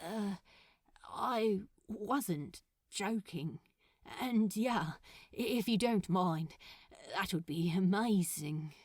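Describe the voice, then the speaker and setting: A woman voicing a blunt, sardonic but soft-hearted man in casual, exclamatory fan fiction dialogue. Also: mid-range voice, expressive, extremely slow, breathy edge, no room sound, studio quality, exaggerated voice. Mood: blunt, chilly.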